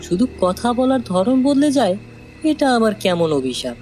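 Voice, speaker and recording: A woman's voice: mid-range voice, very animated, moderate pace, natural voice. Woman acting bold village kid over prominent music. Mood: curious. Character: bold, curious, greedy village child, scoffing at warnings. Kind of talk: animated moral-tale cartoon acting.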